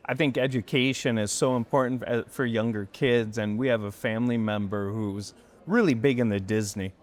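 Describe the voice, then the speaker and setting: Man, podcast host, mid-pitched voice, upbeat, friendly, quick "yeah" backchannels. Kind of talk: podcast interview hosting. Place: live event recording on location.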